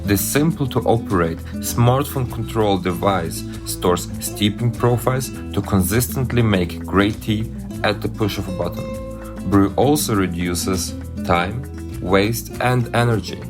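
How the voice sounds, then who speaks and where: A man reads a polished sponsor spot with a smooth, selling delivery. His voice sits a little low. Clear guitar music plays under him throughout. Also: unhurried. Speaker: inventor in a sponsor ad. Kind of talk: scripted ad read.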